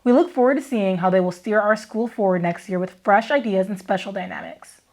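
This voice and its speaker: A woman anchors a school news program. She reads her scripted links at a measured, even pace, in a voice of middling pitch for a woman.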